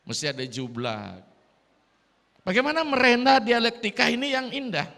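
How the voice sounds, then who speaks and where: High, bright Sulawesi-accented priest preaching. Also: male voice, normal speed, creaky. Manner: lively preaching mixed with English phrases. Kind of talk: dharma talk sermon. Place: large hall at a temple gathering. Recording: long reverb of a hall.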